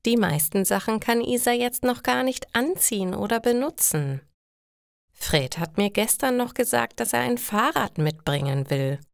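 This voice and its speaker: A woman voicing an overwhelmed young new mother in a learner story, with slow, careful, emphatic delivery. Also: a little high, expressive, creaky, dry recording, studio quality, pitched above the performer's own voice. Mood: fretful.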